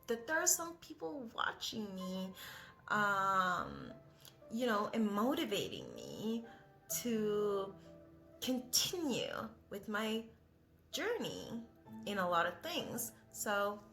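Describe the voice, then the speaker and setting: A woman's voice: medium pitch, huge pitch swings, slow pace, fairly bright tone, soft background music. A young woman, not a native English speaker, talking in a casual, rambling vlog with many hesitant fillers. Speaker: vlogger.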